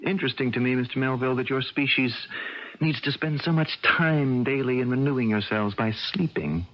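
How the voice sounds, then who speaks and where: An American actor playing an alien disguised as a young college-age son in a radio drama, evasive and coolly detached. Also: male voice, medium pitch, brisk, natural voice. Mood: detached, superior. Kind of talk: 1980 radio drama acting. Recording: dull, hissy old radio recording.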